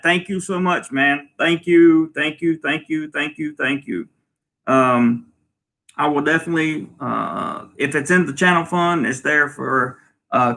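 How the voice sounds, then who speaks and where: Male stream host, bright and forceful, loose and rambling as he answers comments. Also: fairly high pitch. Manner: rambling, lots of ums and restarts. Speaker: channel host. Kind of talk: casual livestream, reading viewer comments. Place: home in Thailand.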